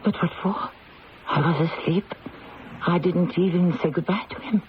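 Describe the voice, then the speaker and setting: A woman's voice: on the low side, normal speed, slight background noise, close to an everyday voice. An actress playing a proud, weary, sorrowful condemned French queen in a theatrical old-time radio drama style. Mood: distressed. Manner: theatrical, imperious, emotional. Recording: dull lo-fi radio, music behind.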